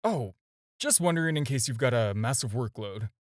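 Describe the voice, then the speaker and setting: A man's voice: mid-range voice, animated, moderate pace, no room sound, studio quality, close to an everyday voice. A man acting a flirty, self-assured supervillain in an audio roleplay, talking to the listener in a teasing, casual, conversational way. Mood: flirtatious, casual. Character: flirty, smooth, self-assured male supervillain courting his tailor.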